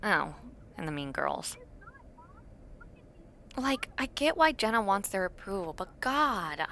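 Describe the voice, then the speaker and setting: A woman's voice: mid-range voice, big pitch swings, slow pace, sharp tone, slight background noise, exaggerated voice. A woman playing an awkward, imaginative young university dormmate in a casual, intimate audio roleplay, chatting in a hesitant, self-deprecating way. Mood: playful, chatty.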